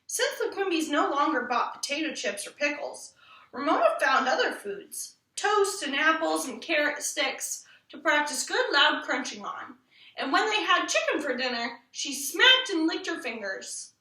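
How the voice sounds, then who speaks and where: Female children's book reader, very lively intonation and bright tone, recorded from a distance in a roomy space. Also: high voice, a little slow. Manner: animated, acts out the quoted lines. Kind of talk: expressive storybook read-aloud. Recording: roomy echo, distant from the mic.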